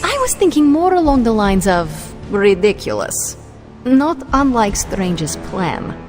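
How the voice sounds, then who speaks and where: An actress playing a sardonic, blunt, fiercely loyal superheroine in a video game cutscene, with a wry manner. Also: female voice, medium pitch, very animated, takes it slowly. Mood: mocking. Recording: clear music behind voice.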